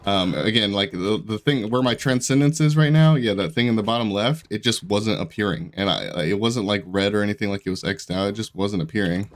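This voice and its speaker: A man's voice: fairly low pitch, brisk, hardly any pauses. A young man with a stuffy, congested voice and a sore throat, chatting casually as he plays and comments on a game, rambling and restarting his sentences. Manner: rambling, self-interrupting, laughs often. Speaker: gaming YouTuber. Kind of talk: casual commentary while playing.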